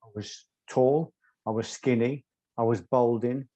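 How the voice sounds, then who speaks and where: A soft-spoken man, a guest telling stories in an interview in a measured, articulate way. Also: medium pitch, fairly even intonation, no room sound, lo-fi sound. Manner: measured, articulate, occasional ums. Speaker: guest, former doorman. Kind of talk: reflective interview storytelling.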